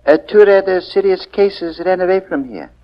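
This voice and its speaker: A muffled old radio recording of a mild doctor played by a man with a high-pitched voice. He sounds hesitant and apologetic, in 1940s radio drama style. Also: moderate pace, natural voice. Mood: apologetic, concerned.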